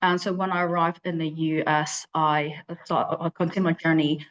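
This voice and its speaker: A woman's voice: deep voice, little pitch movement, normal speed, dull audio. A woman from Hong Kong giving a virtual conference talk as an invited researcher, with frequent fillers and restarts. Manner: hesitant, many fillers and restarts. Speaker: invited speaker, lab leader.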